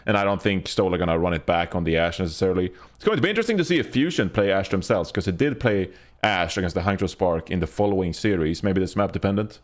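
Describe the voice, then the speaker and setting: A man's voice: low voice, fast, few pauses. A man hosting a casual esports panel, moderating and talking analytically through match predictions. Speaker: podcast host.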